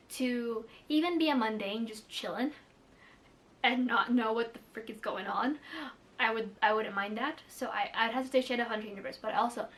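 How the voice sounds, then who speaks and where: Chatty young woman booktuber, slightly high. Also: fairly bright tone. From Philippines. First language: Tagalog. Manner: chatty, many "like" fillers, self-deprecating. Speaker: book vlogger. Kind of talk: casual chat to camera.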